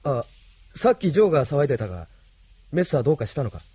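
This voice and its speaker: A man acting a calm, considerate resistance leader in a radio drama, composed and courteous, with music behind. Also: mid-range voice, quick pace, slightly rough, no echo. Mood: calm, caring.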